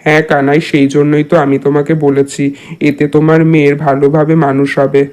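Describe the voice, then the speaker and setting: A man playing a scheming, smooth-talking and grimly resolved village father in a cartoon. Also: on the high side, monotone, fairly quick, a little mellow, lightly acted. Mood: persuasive. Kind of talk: cartoon character acting in a Bengali moral tale.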